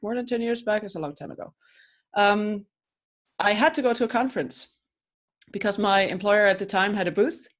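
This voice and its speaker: A woman from Switzerland in her thirties co-hosts a relaxed podcast conversation. She talks in a chatty, storytelling way, often restarting her sentences as she goes. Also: medium pitch. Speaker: podcast co-host, coach. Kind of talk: casual chat.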